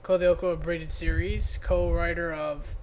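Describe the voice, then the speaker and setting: A man's voice: fairly high pitch, little pitch movement, measured pace, a little mellow, a little hiss or hum, low-quality recording. A man giving a casual, off-the-cuff commentary on his own videos in a self-deprecating, grumbling way. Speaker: creator of an abridged parody series.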